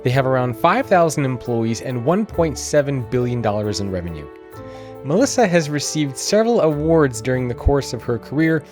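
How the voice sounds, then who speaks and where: A man's voice: a little high, a little mellow. A man hosting a business podcast, reading a polished intro and then interviewing his guest. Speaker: podcast host.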